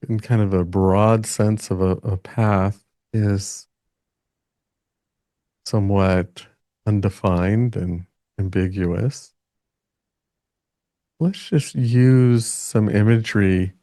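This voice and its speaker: Slow, low-voiced man guiding meditation. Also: no room sound, studio quality. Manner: gentle, halting delivery with long pauses. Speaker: meditation guide. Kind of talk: gentle guided meditation.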